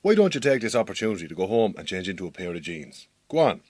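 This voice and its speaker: Lively low-voiced Irish farm boss. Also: male voice, fast, no room sound. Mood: conciliatory. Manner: hesitant, stumbling, blunt. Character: awkward, well-meaning Irish farm boss, married man. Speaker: actor in an audio drama. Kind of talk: radio drama acting.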